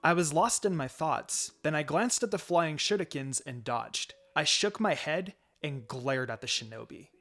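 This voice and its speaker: A man's voice: on the high side, expressive, normal speed, few pauses, fairly bright tone, faint music behind. A man reading fan fiction aloud as a dramatic story narration.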